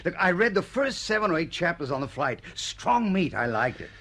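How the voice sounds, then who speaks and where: A man's voice: high-pitched, big pitch swings, moderate pace, pushed and bright, exaggerated voice. An actor playing an affable, eager male writer in a radio drama, with a friendly, upbeat manner. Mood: eager, explaining.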